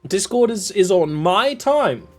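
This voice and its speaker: A man's voice: lively intonation, takes it slowly, soft background music. A young British man with a southern English accent, rambling casually while he streams a video game. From England. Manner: rambling, chatty, with sudden jumps in pitch. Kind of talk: casual gameplay commentary.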